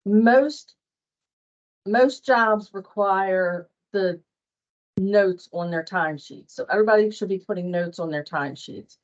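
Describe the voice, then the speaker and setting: A woman giving an informal workplace training walkthrough, speaking in a plain, halting, conversational way over muffled online meeting audio. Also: medium pitch. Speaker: staff trainer on invoicing. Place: online meeting with screen share.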